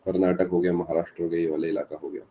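Male online tutor, very low voice, a bit hoarse from a sore throat, dull streamed sound. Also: flat delivery, racing, slight background noise. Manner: answers live chat by name, mixes English terms. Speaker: teacher. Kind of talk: live online exam-prep lecture.

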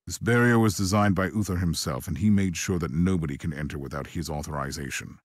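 A battle-hardened inquisitor from a video game, with an extremely low voice. He is grim and resolute, speaking with solemn, formal command. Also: quick pace, no echo, studio quality. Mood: solemn resolve. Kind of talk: video game cutscene acting.

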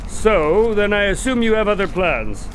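A wry, practical doctor. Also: male voice, high voice, very animated, moderate pace, background noise. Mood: earnest. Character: Dr. Watson, sharp practical adult male doctor. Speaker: doctor and sidekick. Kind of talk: radio drama acting.

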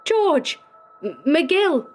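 A woman storyteller acts out a male district attorney gripped by guilt and fear. He speaks anxiously and haltingly, pitched above normal narration, as in a dramatic suspense tale. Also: faint music behind, natural voice. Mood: terrified. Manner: anxious, halting, stammering in fright. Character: nervous, guilt-haunted male district attorney, growing terrified. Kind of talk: dramatic short story reading.

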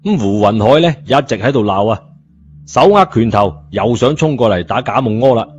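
A man's voice: medium pitch, lively intonation, moderate pace. A man with a Cantonese accent narrating a scripted story in a colloquial style, as an audiobook reader. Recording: muffled narration over music bed.

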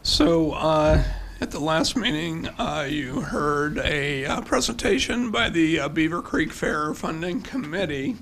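An older man giving a formal presentation as a city official at a podium microphone, with halting, hesitant phrasing. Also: fairly high pitch, expressive, creaky, a bit bright, a little hiss or hum. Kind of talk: formal budget presentation with slides. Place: indoor public council chamber with seated audience. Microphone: podium gooseneck microphone.

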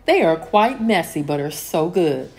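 A woman narrating a home cleaning vlog as a calm, scripted voice-over, steady and homey, with lines that fall at the end. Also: lowish voice, unhurried. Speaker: cleaning vlogger.

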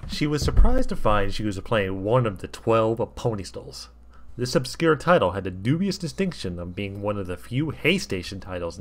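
A man narrates a comic story in a fairly low voice. His intonation swings widely, with a wry, mock-earnest tone throughout. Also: a bit bright. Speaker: fan fiction reader.

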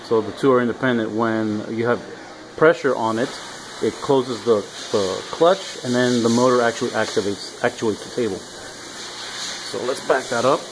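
A middle-aged man with a mid-pitched voice walks viewers through a machine in a casual, halting way. He talks in short, practical phrases as he demonstrates. The handheld recording is made in a large workshop with clear noise behind him. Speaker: workshop machine builder and video host. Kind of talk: explanatory walkthrough of a machine. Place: large indoor metal workshop. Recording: clear shop noise on handheld camera audio.

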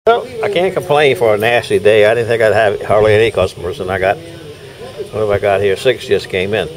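A man's voice: on the low side, lively intonation, a little fast, a crowd in the background, low-quality recording. An older man giving folksy, casual running commentary on his knife-sharpening work at an outdoor farmers market, recorded on a handheld camera, laughing often. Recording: faint outdoor noise.

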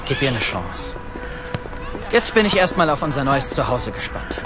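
A man's voice: medium pitch, sing-song, normal speed, natural voice. A young actor playing an optimistic, reassuring young father in naturalistic audio drama dialogue. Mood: upbeat, reassuring. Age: young adult. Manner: reassuring, easygoing. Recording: studio audio drama with loud music underneath.

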